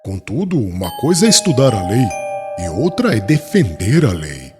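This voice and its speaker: A man's voice: on the low side, huge pitch swings, normal speed. A man playing a proud, wrathful young doctor of the law in a dramatized audiobook, speaking with formal, self-righteous vehemence. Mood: stern, self-righteous. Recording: long reverb, music behind.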